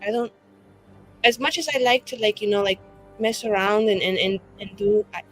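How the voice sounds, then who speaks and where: A woman's voice: mid-range voice, moderate pace, fairly bright tone, soft background music, natural voice, poor audio. A woman playing a flamboyant, tipsy elf writer who rambles and boasts with self-dramatising flair in a tabletop game. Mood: reluctant, apologetic.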